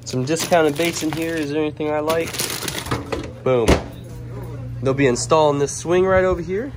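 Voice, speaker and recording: A man's voice: on the high side, steady tone, normal speed, heavy background noise. A young man casually walking viewers through a small shop, talking straight to them in an upbeat way. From Louisiana. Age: young adult. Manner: upbeat, addresses viewers directly. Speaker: vlogger. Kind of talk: casual walkthrough vlog. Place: outdoors by a waterside dock, then inside a small shop. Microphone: handheld camera held close.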